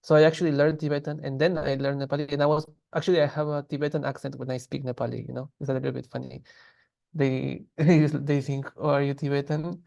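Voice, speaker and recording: A man teaching a language class, explaining grammar patiently in a relaxed way with frequent pauses and restarts. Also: mid-range voice, creaky.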